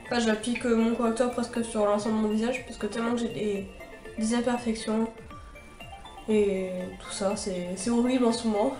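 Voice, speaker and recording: A woman's voice: a little high, steady tone, a little fast, darkish tone, roomy sound, soft background music. A young woman with a cold and a stuffy nose, chatting her way through a makeup tutorial with many fillers and restarts. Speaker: beauty vlogger.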